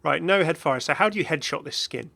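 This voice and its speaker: A man's voice: fairly high pitch, expressive, a little fast, vocal fry, pushed and bright. A man giving casual, off-the-cuff game commentary as a video host, chatty and rambling with enthusiastic asides.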